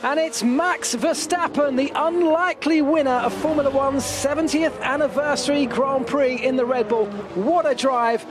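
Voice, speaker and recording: A man giving excited live motor racing commentary as the lead commentator. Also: very high-pitched, normal speed, hardly any pauses.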